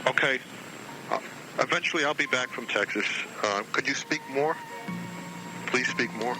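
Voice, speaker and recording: An archival 1990s home recording of a man talking on the phone, with prominent music behind him. His voice sits at a middle pitch. He speaks haltingly and in a questioning way. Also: low-quality recording. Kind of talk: unscripted phone conversation.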